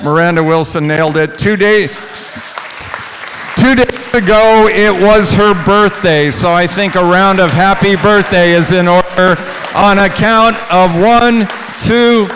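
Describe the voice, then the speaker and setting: A man's voice: sits high, a little slow, fairly bright tone, some chatter behind, slight background noise, poor audio. An American man, the director, announcing to an audience at a live radio play. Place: on stage at a live show. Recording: music and audience behind.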